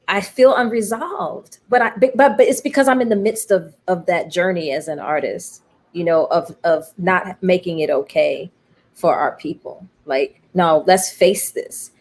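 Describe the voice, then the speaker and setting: Lower-pitched, expressive woman in candid conversation. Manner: expressive, acts out quoted remarks. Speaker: playwright being interviewed.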